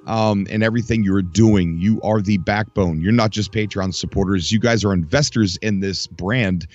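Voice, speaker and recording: A man's voice: fairly low pitch, moderate pace, a little mellow, lo-fi sound. A man hosting a casual live gaming stream, chatty and upbeat, his voice still recovering and skipping a beat now and then. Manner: chatty, rambling, upbeat, greets chat viewers by name. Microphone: desk mic. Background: faint game music.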